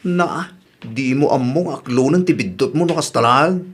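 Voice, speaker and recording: A man's voice: medium pitch, moderate pace, husky, natural voice. A man with an Ilocano Filipino accent acting a reproving, level-headed village husband in a radio drama. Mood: scolding.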